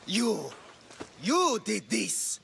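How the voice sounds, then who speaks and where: A woman voicing a vengeful, grieving snake keeper in a video game, with a shrill creaky voice and drawn-out theatrical outcry. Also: sing-song, soft background music, full character voice. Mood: enraged. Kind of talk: dramatic video game acting.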